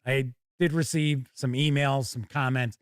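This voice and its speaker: A man's voice: medium pitch, fairly even intonation, unhurried, clean tone, no echo, studio quality. An American man hosting a live stream, talking in a casual, chatty and emphatic way with colloquial turns of phrase. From United States. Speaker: YouTube live stream host.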